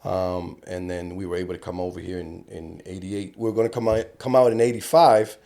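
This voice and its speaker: A middle-aged actor from Cuba talking casually in a podcast interview, an animated storyteller who swears freely. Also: male voice, deep voice, fairly quick, creaky. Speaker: actor guest.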